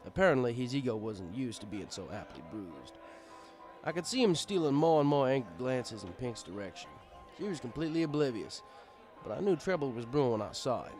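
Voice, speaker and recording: A man's voice: medium pitch, big pitch swings, fairly quick, darkish tone, faint music behind. A man reading a first-person story aloud as narrator, in a conversational, wry storytelling style.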